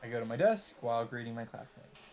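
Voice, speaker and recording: A man's voice: mid-range voice, animated, fast talker, darkish tone, slight background noise. Wry male let's play narrator. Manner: wry, joking asides between narration. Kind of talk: reading visual novel text aloud with commentary.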